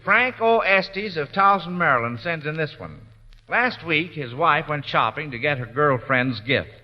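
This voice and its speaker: A man's voice: on the high side, expressive, a little slow. A man hosting a 1940s radio quiz show, reading out listener questions and faltering a little when interrupted. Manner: hesitant and filler-prone when interrupted. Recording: old radio recording, dull sound, long reverb.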